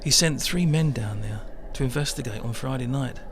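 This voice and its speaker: Man acting a gravelly, world-weary middle-aged railway worker. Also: mid-range voice, moderate pace, a little hiss or hum, natural voice. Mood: hushed, intrigued. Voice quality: creaky, gravelly. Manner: colloquial, world-weary, swears casually. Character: weary, disillusioned male railway worker and musician, nearly fifty. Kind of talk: dramatic dialogue in an audio-led motion comic.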